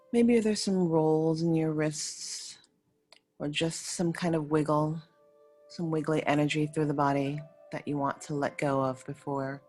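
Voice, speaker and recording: A woman leading a guided meditation as a yoga nidra teacher, speaking in soothing, unhurried short phrases with pauses between them. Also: low voice, darkish tone. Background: faint music.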